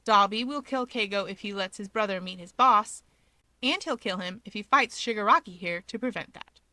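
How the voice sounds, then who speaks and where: Bright-voiced woman narrating a story. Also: mid-range voice, animated, normal speed, no room sound. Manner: wry, conversational. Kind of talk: expressive narration of fan fiction.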